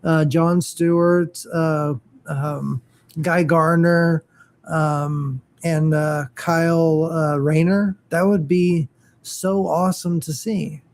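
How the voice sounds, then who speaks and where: A man's voice: a little high, steady tone, darkish tone. A man chatting casually about comic-book shows, hesitant and full of fillers and restarts. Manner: halting, many fillers and restarts. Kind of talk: casual geeky chat.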